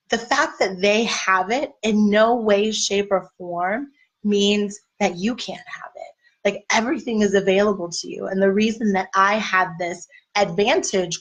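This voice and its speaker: A woman in her thirties, a life and marriage coach, giving a casual, encouraging talk to wives. Her voice is a little raspy. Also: medium pitch, rough recording. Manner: conversational, encouraging, faith-based. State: slightly raspy voice.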